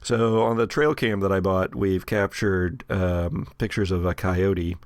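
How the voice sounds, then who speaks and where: A man hosting a tabletop game podcast as game master, mixing dramatic, deliberate narration with casual, dryly humorous chat. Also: low voice, fairly even intonation, no room sound. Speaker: host and game master of an actual play podcast.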